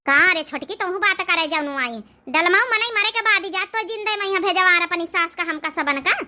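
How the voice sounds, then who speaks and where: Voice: extremely high pitch, fairly quick, bright, forceful tone, slight background noise, exaggerated voice. A performer voicing an elderly, grumbling village aunt in a comic cartoon family drama, fussy and plain-spoken, with an Awadhi accent. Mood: grumbling, hesitant.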